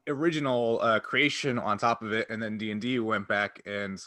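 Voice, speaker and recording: A man's voice: fairly low pitch, steady tone, normal speed, bright, forceful tone, no room sound, clean studio sound. A man hosting a podcast, talking casually in a wordy, explanatory way. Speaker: podcast host.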